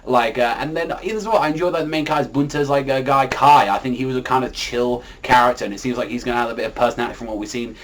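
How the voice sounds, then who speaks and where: A man's voice: mid-range voice, quick pace, few pauses, a little hiss or hum. A man giving a casual, unscripted anime review, talking in a rambling, repetitive way with a habit of filler words.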